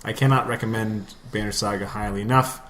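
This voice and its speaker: A man's voice: lowish voice, fairly even intonation, fairly quick, fairly bright tone. Wry man chatting, with background noise. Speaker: podcast co-host. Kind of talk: casual chat about video games.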